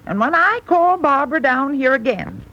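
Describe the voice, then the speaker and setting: A woman's voice: sits high, animated, moderate pace, sharp tone, heavily acted. An actress playing a wry, kindly, plain-spoken old grandmother in a 1930s radio comedy. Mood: wryly advising. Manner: folksy, wry. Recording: dull 1930s radio recording.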